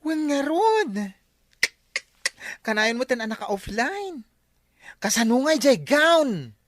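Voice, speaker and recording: Woman acting a high, lively, eager friend. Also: slow pace, no echo, clean studio sound, heavily acted. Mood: chatty, curious. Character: eager, chatty woman friend inviting herself along. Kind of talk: comedy audio drama dialogue.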